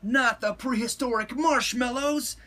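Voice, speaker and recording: A man's voice: high voice, expressive, measured pace, fairly bright tone, breathy edge, pitched above the performer's own voice, heavily acted. A man voicing a boisterous little kitten boy who plays a Chihuahua swordfighter, dramatic and singsong with a mock Spanish accent. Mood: excited, bold.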